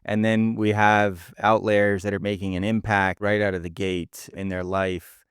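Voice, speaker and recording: A man's voice: lowish voice, little pitch movement, smooth, no echo, studio quality. A man co-hosting a podcast, earnestly reading out a guest introduction and then asking questions, with small stumbles. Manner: earnest, measured, slight stumbles. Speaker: podcast co-host.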